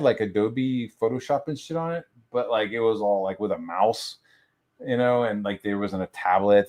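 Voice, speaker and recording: A man's voice: on the low side, a little mellow. An American man chatting casually and telling personal stories in slangy, profane language, often repeating himself and restarting. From United States. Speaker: livestream host. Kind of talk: rambling casual chat, telling personal anecdotes.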